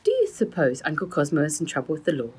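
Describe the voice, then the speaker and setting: A woman's voice: sits low, wildly swooping pitch, quick pace, a little mellow, slightly rough, full character voice. A woman playing a nervous young girl in a children's storybook, questioning with feigned innocence. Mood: worried.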